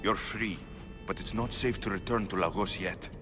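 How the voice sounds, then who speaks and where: A man's voice: sits low, normal speed, natural voice. A man playing a determined, defiant young Greek mercenary hero in a game cutscene, blunt and resolute. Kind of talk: video game cutscene acting. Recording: loud music behind the voice.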